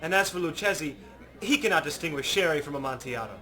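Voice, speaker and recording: A man voicing a tipsy, boastful, excitable carnival reveller in a dramatic story reading, exclaiming with drunken bluster. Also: fairly high pitch, fast, pitched above the performer's own voice. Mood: eager, dismissive.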